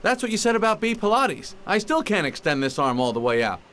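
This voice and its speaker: An actor playing a wry, restless young bee hero in a cartoon game, sardonic and questioning. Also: male voice, high voice, big pitch swings, fairly quick, fairly bright tone, a little hiss or hum. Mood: wry, frustrated. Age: young adult.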